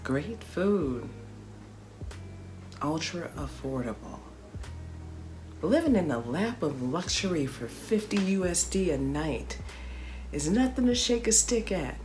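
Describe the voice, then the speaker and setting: A woman's voice: on the low side, big pitch swings, takes it slowly, pauses often, a little mellow, soft background music. A woman from Chicago telling a travel story in a playful, slangy way, with dramatic comic asides. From Chicago, USA. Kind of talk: casual storytelling vlog.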